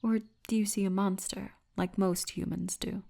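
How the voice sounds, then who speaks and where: A woman voicing a big orc guardswoman, gentle, wry and commanding, in a hushed, breathy ASMR roleplay murmur. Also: mid-range voice, flat delivery, moderate pace. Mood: probing, tender.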